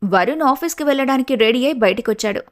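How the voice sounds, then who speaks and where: Slightly high-pitched woman narrating a story. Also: animated, quick pace.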